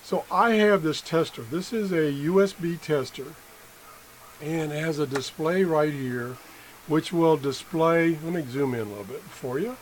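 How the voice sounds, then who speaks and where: A middle-aged man giving an unscripted hands-on demonstration as an amateur tester, halting and correcting himself as he thinks aloud. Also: on the high side. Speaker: amateur product tester and video host. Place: indoor room at a workbench. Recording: noisy room with background sound.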